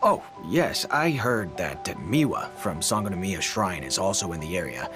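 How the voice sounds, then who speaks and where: A tactful, seasoned soldier in a video game. His voice is fairly low and lively in intonation, with an easygoing, knowing manner. Faint music sits behind it. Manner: measured advice with awkward, knowing hedging. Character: tactful, easygoing older soldier, male captain. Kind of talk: video game dub dialogue.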